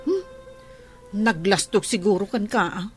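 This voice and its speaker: An actress with an Ilocano Filipino accent playing a feisty, easily offended village wife in a comedy radio drama. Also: female voice, mid-range voice, big pitch swings, unhurried, breathy edge, faint music behind. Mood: puzzled. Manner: confrontational, questioning.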